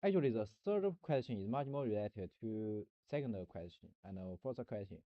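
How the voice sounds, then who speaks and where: Rambling low-voiced man, halting and dull-sounding. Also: expressive, no echo. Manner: halting, searching for words, many restarts and repetitions. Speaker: streamer. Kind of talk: rambling philosophical monologue on a stream.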